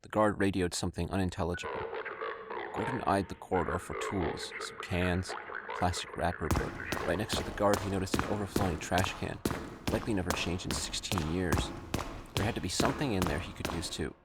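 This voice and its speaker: A man's voice: deep voice, a little fast. A man reading scripted narration for an audio drama. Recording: clearly noisy recording with clicks.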